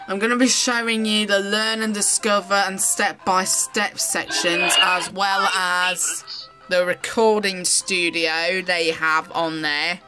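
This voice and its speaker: Young female toy reviewer, fairly low voice, unhurried and halting, faint music behind. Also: level tone, low-quality recording. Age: young adult. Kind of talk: unscripted toy demonstration.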